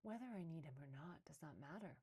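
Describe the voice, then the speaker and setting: A woman voicing a protective mother fox, warm and resolute, in a storybook read-aloud. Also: deep voice, animated, a little fast, slightly rough. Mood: firm, defiant. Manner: soft-spoken, resolute.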